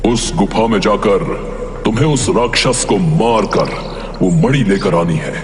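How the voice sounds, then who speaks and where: A slow, low-voiced tree spirit played by a man, an angel under a curse, gruff and solemn. The cartoon voice rings out in long, echoing reverb, commanding and unhurried. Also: a little hiss or hum, natural voice. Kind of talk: Hindi cartoon story voice acting. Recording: echoing hall reverb, faint music behind. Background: music.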